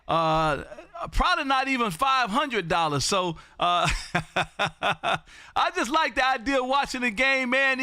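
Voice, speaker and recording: American man, high forceful voice, dry close sound, animated folksy ham radio host, booming delivery. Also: smooth, no echo. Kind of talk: live ham radio contact chat.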